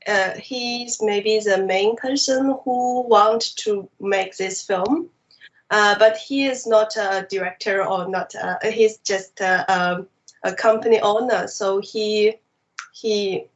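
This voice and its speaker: Woman, Mandarin Chinese accent, slow and halting, lots of fillers, muffled lo-fi sound. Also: mid-range voice. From China. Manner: hesitant, many fillers, careful non-native English. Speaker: animation director guest. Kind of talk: remote interview answers.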